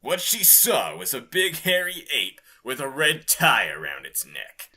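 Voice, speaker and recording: A man's voice: medium pitch, huge pitch swings, measured pace, sharp tone, breathy edge. A man co-hosting a podcast, reading comic fan fiction with giggly, irreverent banter. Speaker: podcast co-host.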